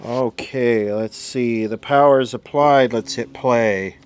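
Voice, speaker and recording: Slow, dry-talking man with background noise. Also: medium pitch. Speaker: host, electronics repairer. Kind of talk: casual hands-on repair commentary, thinking aloud. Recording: clear background noise and hiss.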